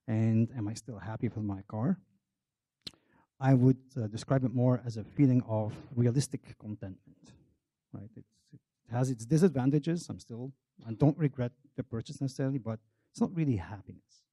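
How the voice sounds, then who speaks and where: A man's voice: mid-range voice, mellow, no echo. A middle-aged Belgian man giving a public talk, telling a wry, self-deprecating personal story. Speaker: marketing researcher on a panel.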